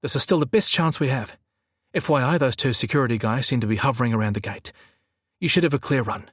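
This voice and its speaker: A flamboyant younger man as a male narrator plays him, lifted in pitch and animated. He is a cheeky, anxious schemer in an audiobook, close and dry. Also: fast talker, breathy edge, no echo, lightly acted. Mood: nervous resolve. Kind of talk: audiobook character dialogue.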